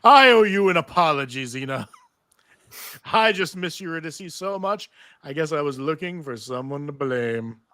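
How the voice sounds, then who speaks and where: A man's voice: a little high, expressive, unhurried, pushed and bright, dry recording. A man playing a grieving, earnest musician hero full of regret in a live fantasy table read. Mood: apologetic, sad.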